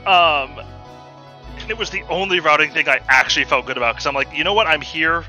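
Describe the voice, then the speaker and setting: A man with a loud voice, a racer chatting casually after a race and retelling his own thoughts with animation. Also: on the high side, brisk, soft background music. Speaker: tournament racer. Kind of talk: casual post-race chat.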